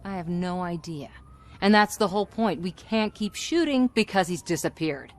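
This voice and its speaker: Scheming female production boss, game cutscene actress: deep for a woman, sly, assertive. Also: lively intonation, moderate pace, lightly acted. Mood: defensive, irritated. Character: scheming, manipulative woman running a commercial shoot. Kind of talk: video game cutscene acting.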